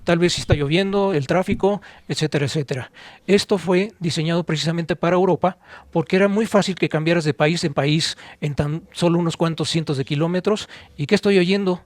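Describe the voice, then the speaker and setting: Higher-voiced Mexican man, dry close sound. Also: dry recording. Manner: didactic, with pauses and rhetorical questions. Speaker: podcast co-host and audio expert. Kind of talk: informal explanatory podcast talk.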